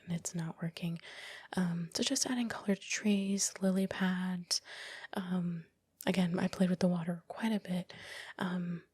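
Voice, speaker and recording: Breathy whispering woman, close and dry. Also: fairly low pitch, dry recording. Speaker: ASMR creator narrating own project. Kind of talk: whispered ASMR process commentary. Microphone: close, dry microphone.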